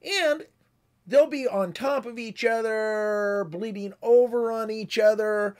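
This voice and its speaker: A man's voice: high voice, a little mellow. A man in his sixties giving a conversational, instructive amateur radio tutorial as the presenter. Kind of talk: explanatory tutorial with demonstrated radio calls.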